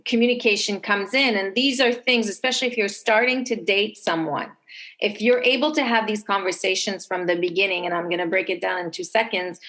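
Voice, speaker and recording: Female podcast guest, medium pitch, conversational advice-giving, explains by acting out inner dialogue. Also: steady tone, fairly quick, fairly bright tone, rough recording. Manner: explanatory, voices her own self-talk.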